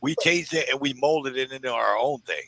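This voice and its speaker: A man's voice: mid-range voice, normal speed, fairly bright tone, dry recording, poor audio. An American man on a casual panel, blunt and opinionated, swearing freely. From United States.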